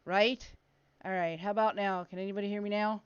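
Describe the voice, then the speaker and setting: A woman's voice: medium pitch, fairly even intonation, brisk, smooth, no echo, highs cut off. A woman hosting a casual livestream, informal and self-deprecating, chatting and reading out show introductions. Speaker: stream host.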